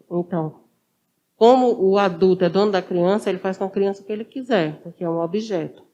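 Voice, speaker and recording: Mellow, explanatory woman guest. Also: medium pitch, muffled recording. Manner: didactic, repeats and rephrases for emphasis. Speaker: interview guest, social worker. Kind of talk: explanatory interview talk.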